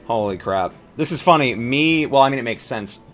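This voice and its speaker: A man's voice: medium pitch, lively intonation, moderate pace, fairly bright tone, soft background music. A man co-hosting a livestream in casual, conversational chat. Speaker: stream co-host.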